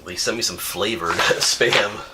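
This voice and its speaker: A man's voice: lowish voice, unhurried, background noise. A man, sniffly from a cold, chatting casually and rambling as he opens viewer mail at home on camera, laughing often. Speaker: outdoors YouTuber reading viewer mail. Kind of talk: casual chat. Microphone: camera mic.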